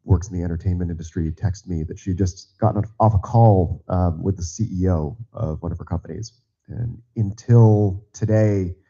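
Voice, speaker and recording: Man, hoarse from a cold, unplanned remarks, dull livestream audio. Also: low-pitched, warm tone. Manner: halting, then rising to conviction. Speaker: party committee member. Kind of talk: unplanned remarks at a public meeting. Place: large auditorium.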